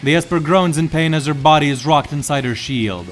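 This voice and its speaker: A man's voice: fairly high pitch, brisk, soft background music. Male narrator of a dramatic story.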